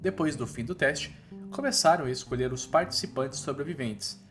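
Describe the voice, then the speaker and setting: A man's voice: mid-range voice, normal speed, faint music behind. A man with a Brazilian accent reading a scripted story recap in an informal, slangy, playful storytelling style. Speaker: narrator of comic recap videos.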